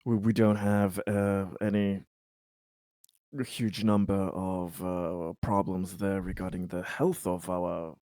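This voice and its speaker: A man's voice: low voice, little pitch movement, slow pace, warm tone, dry recording, clean studio sound. A man improvising in-character roleplay dialogue, speaking formally and hesitantly and often repeating phrases. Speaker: tabletop roleplayer.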